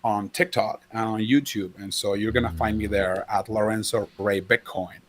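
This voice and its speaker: A man's voice: fairly low pitch, fairly even intonation, moderate pace. A man from Venezuela, a native Spanish speaker, chatting casually as a podcast guest with a hesitant, filler-heavy way of talking. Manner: hesitant, many fillers and restarts. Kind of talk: casual chat.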